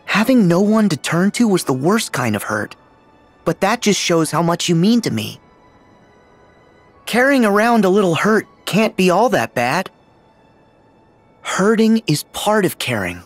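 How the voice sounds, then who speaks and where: Upbeat brave teen boy in a video game, sincere, boyish, very animated, music behind. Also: high voice, measured pace, pauses often, exaggerated voice.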